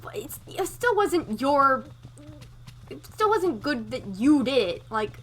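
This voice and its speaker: Woman playing lively, high-voiced hesitant survivor. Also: full character voice. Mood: upset, reproachful. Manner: hesitant, pleading, halting. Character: compassionate, hesitant woman survivor in zombie apocalypse. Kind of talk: amateur roleplay acting in a game.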